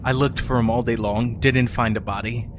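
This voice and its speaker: A man's voice: mid-range voice, steady tone, close to an everyday voice. Man playing a weary, lonely survivor over music. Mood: lost, resigned. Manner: halting, understated, resigned musing. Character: lonely, weary male survivor broadcasting after an apocalypse. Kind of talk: audio fiction monologue.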